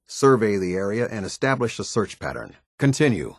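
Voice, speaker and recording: A stern game captain with a low, husky voice. He is disciplined and formal, clipped in his phrasing yet lively in his intonation, and every line carries authority. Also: moderate pace, no room sound, clean studio sound. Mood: stern, commanding. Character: stern, disciplined male military captain giving orders. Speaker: video game voice actor. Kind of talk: scripted video game dialogue.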